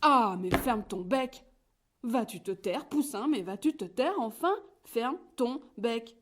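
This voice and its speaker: A woman reading a picture book aloud, voicing an exasperated mother hen who shouts at her chick. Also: fairly high pitch, expressive, takes it slowly, exaggerated voice. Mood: scolding.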